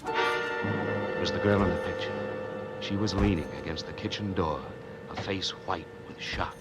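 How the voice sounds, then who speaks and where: Deep voiced noir tough guy on the run, deadpan n terse, old time radio show w music under it. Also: unhurried. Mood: uneasy narration. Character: wary hardboiled man on the run, posing as detective. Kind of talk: 1940s radio noir acting, first-person narration.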